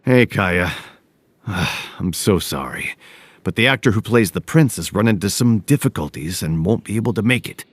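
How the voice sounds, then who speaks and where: An actor playing a friendly, apologetic theater troupe leader in a video game cutscene, earnest and easily excited. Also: male voice, on the low side, normal speed, husky.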